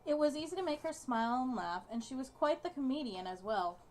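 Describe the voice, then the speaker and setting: A woman's voice: high-pitched, expressive, fairly quick, a little mellow, slight background noise. A woman reading a visual novel's narration aloud as part of a game playthrough. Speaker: gaming video co-host.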